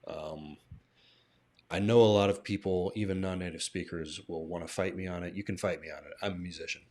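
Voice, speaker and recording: A man's voice: sits low, fairly even intonation, brisk, darkish tone. An American man chatting casually as a language teacher on a livestream, thinking aloud and often checking in with a "right?". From southern United States. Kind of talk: casual live-stream discussion.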